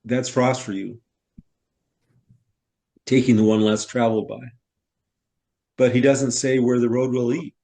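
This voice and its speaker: Slow, ruminative middle-aged farmer, played by a man. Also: mid-range voice, little pitch movement, dark tone, close to an everyday voice. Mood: musing. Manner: deliberate, ruminative. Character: middle-aged male newcomer farmer, pondering, musing, curious. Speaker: actor in a staged reader's theater. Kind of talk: stage play reading.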